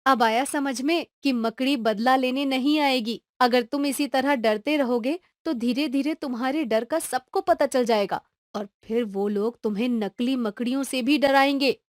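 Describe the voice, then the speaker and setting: A woman voicing a caring, firm mother in an animated story, explaining things patiently to her son. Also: high-pitched, normal speed, dry recording, studio quality, natural voice. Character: caring young mother, firm but loving.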